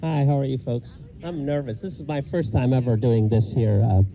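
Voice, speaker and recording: A middle-aged man from Iraq doing a self-deprecating stand-up set with deadpan punchlines, outdoors in a park. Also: mid-range voice, normal speed. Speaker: stand-up comedian. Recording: outdoor noise and passing traffic. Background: park ambience and vehicles.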